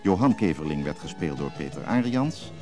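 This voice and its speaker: A man's voice: rumbling low, sing-song, moderate pace. A man reading scripted closing credits as a radio drama announcer. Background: music.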